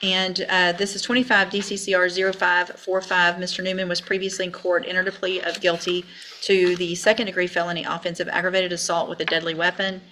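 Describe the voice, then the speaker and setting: A woman's voice: lowish voice, level tone, brisk, sharp tone. A woman with an American accent speaking bluntly and sternly as a judge in formal courtroom speech, on a noisy courtroom recording. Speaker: judge presiding over a sentencing.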